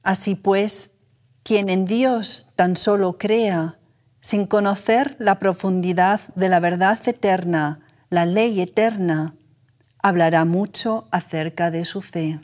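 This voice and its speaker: Slow, solemn woman reader. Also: medium pitch, steady tone, darkish tone. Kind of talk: scripted religious reading.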